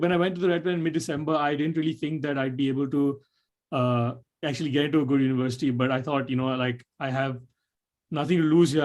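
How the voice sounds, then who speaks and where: Young man, from Nepal, student panelist on a video call, rambling, slightly high voice. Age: young adult. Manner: rambling, lots of fillers and restarts. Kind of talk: webinar answer, casual.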